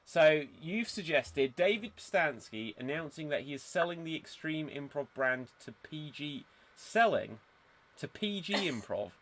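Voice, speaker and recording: A man's voice: on the high side, sing-song, a little slow, fairly bright tone, slight background noise. A man hosting a live-streamed improv game over a video call, bubbly and teasing, and often laughing. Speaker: improv show host.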